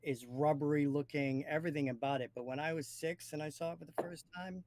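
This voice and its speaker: A man's voice: a little high, steady tone, fast, a little mellow, no room sound. A man from Maine co-hosting a podcast in casual conversation. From Maine, United States. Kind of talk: casual chat.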